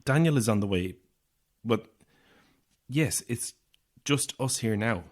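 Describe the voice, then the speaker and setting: An anxious, defensive young Irishman in an audio horror drama. He sounds isolated and on edge, with a sardonic streak. The delivery is naturalistic, at a middling pitch. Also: lively intonation, slow pace. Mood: defensive, flustered.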